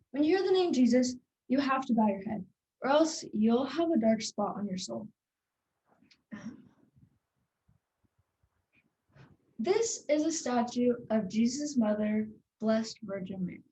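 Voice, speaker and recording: A woman's voice: a little high, animated, normal speed, dark tone, lightly acted. A young woman on stage playing a naive, bossy little child who earnestly explains things in a monologue. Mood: earnest, instructive. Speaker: drama student performing a monologue. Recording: roomy, distant microphone.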